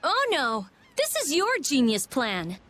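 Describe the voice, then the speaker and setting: A woman voicing a sharp-tongued, clever teenage girl in a video game, brusque and sarcastic. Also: high-pitched, huge pitch swings, slow pace, full character voice. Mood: scornful. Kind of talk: English video game voice acting.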